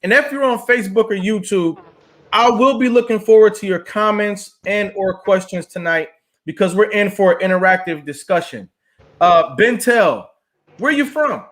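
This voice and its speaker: A man's voice: high voice, expressive, normal speed, a bit bright. A man hosting an interview show, greeting viewers and prompting his guest with short, encouraging affirmations. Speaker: talk show host.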